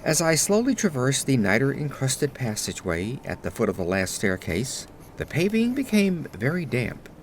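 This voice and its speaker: A man narrates a gothic horror tale with a widely moving, expressive pitch. His delivery is solemn and deliberate, in an old-fashioned literary manner. Faint atmospheric ambience sits behind his voice. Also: a little hiss or hum. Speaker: audio drama narrator. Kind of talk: dramatic scripted narration of gothic horror story.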